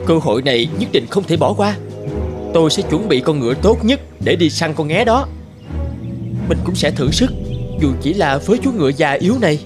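A man voicing a poor, earnest young herdsman in an animated fairy tale. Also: on the high side, fairly quick, over music, natural voice. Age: young adult.

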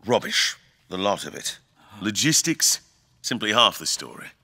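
Man acting a grave, deep-voiced fantasy king. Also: big pitch swings, bright, forceful tone, husky, heavily acted. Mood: grave, scornful. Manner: theatrical, deliberate, formal diction. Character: grave, imperious fantasy king, eloquent and brooding. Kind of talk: dramatic fantasy audio drama acting.

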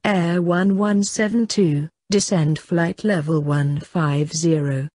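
A woman reading scripted air traffic control instructions in a measured, formulaic way. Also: fairly low pitch, steady tone, darkish tone, no room sound.

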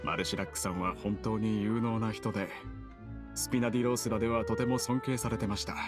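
アニメ調ゲームで、忠実で謙虚な若い補佐役を丁寧な敬語調で演じる男性。. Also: male voice, fairly low pitch, fairly even intonation, brisk, music in the background, close to an everyday voice. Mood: respectful. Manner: formal, deferential. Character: polite, loyal young male aide, humble and earnest. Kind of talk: Japanese anime game voice acting.

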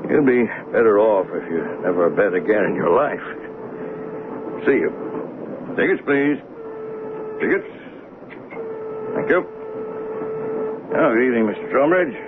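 Folksy train conductor on tinny old radio. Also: male voice, on the low side, lively intonation, measured pace, pauses often. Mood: amiable. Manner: musing, plain-spoken. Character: folksy, observant commuter-train conductor telling a murder tale. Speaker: actor narrating and playing a train conductor. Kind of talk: 1970s radio drama acting, narration and dialogue. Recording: noisy phone-like old radio broadcast.

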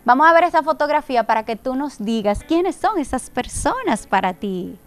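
A woman's voice: high-pitched, sing-song. A woman hosting a local TV talk show, reading sponsor thanks and chatting with a guest in an upbeat, friendly way. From Dominican Republic. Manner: upbeat, friendly, with filler pauses. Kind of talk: chatty interview and live sponsor plugs.

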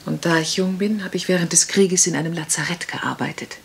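An actress playing a shrewd, determined young woman detective in a radio crime drama, with deliberate, insistent delivery. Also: fairly low pitch, gravelly, a little hiss or hum. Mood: calm, purposeful. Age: young adult.

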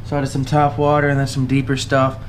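A man's voice: mid-range voice, slight background noise. A young man with a Southern US accent, hosting his fishing channel and casually walking through tackle for a giveaway, with plenty of uh and um. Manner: relaxed, halting, lots of uh and um. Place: indoors at a table, camera overhead.

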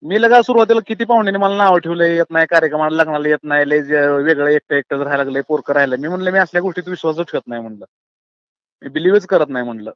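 A dull, lo-fi phone recording carries a man with a rural Maharashtrian accent, talking very fast. His voice sits fairly high and close, as he reasons earnestly and colloquially with his listener. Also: smooth, no echo. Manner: colloquial, earnest, philosophising, often addresses listener as brother. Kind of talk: casual phone chat, opinionated monologue. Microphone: phone call, close and dry.

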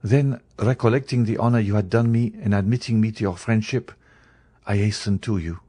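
A man's voice: on the low side, steady tone, a little fast, warm tone, husky, no room sound. A man voicing an earnest young male journalist, a grave and loyal friend, with emphatic, formal delivery in an audiobook. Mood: compassionate, solemn. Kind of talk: dramatised audiobook reading.

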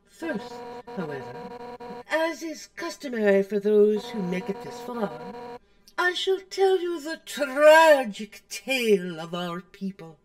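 A woman's voice: on the high side, sing-song, takes it slowly, rough recording. A woman voicing a proud, hot-tempered monster warrior knight in a video game, with dramatic, menacing delivery. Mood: grave, menacing. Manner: dramatic, menacing, forceful declamation. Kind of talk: fan-dub game voice acting. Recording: music behind.

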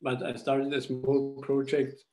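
A young man from Austria walks listeners through a slide presentation on a video call, his voice mellow and warm. He talks haltingly, often restarting phrases as he searches for the words. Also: mid-range voice, monotone. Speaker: charity founder presenting a school project. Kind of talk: webinar presentation. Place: indoors at home. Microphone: laptop webcam video call.

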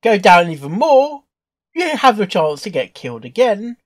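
A man hosting a reaction video with casual, informal commentary, halting and rambling as he thinks aloud. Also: sits high, very animated. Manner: halting, repeats and rambles through thoughts. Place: indoors at a computer.

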